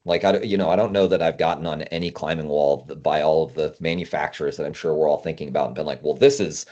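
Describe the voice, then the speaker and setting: A man's voice: very deep voice, steady tone, fast talker, mellow. A man speaking as a guest consultant in a casual interview, emphatic and explaining through hypothetical quotes. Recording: dull, band-limited audio.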